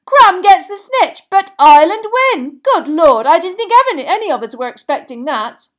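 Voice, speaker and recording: A woman voicing an excited male sports commentator, bellowing exclamations breathlessly in a storybook reading. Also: very high voice, normal speed, pitched above the performer's own voice, exaggerated voice. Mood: stunned excitement.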